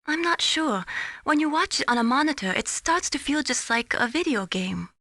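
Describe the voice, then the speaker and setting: An actress playing an earnest, gently teasing young female radar technician in a video game. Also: high voice, animated, normal speed, no echo, studio quality, full character voice. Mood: thoughtful. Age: young adult. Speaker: mission support operator. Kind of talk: scripted video game voice acting.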